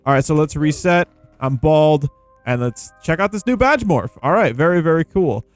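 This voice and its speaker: A man's voice: fairly high pitch, expressive, normal speed, no echo. A man hosting a gaming video with casual, rambling commentary, playful and full of informal slang. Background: background music.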